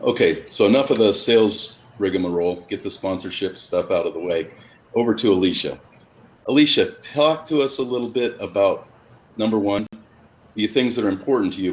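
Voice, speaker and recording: A man's voice: fairly low pitch, brisk. A man in his fifties hosting a casual interview over a video call, talking in an informal, rambling way. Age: 50s. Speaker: host. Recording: dull lo-fi audio with background noise.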